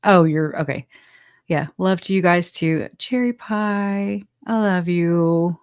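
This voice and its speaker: A woman's voice: on the low side, takes it slowly, clear, resonant tone, dry recording, clean studio sound. A woman hosting a livestream, chatting casually and rambling, with frequent restarts and laughter. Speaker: livestream host.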